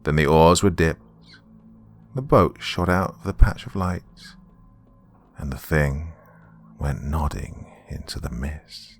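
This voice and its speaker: A British man hosting and narrating a horror podcast, reading scripted material with dramatic, deliberate, emphatic phrasing. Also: a bass voice, faint music behind.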